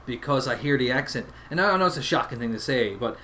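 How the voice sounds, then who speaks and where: A man's voice: medium pitch, expressive, fast, pushed and bright, slight background noise. A young man with a Newfoundland accent co-hosting a casual chat show, earnest and opinionated. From Newfoundland, Canada. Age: young adult. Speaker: podcast co-host.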